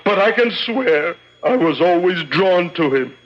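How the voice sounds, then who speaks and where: Casting: humbled wealthy father pleading before judges, high-pitched earnest voice, old radio play. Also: lively intonation, measured pace. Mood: remorseful, pleading. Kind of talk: theatrical radio drama acting. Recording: dull lo-fi old radio recording.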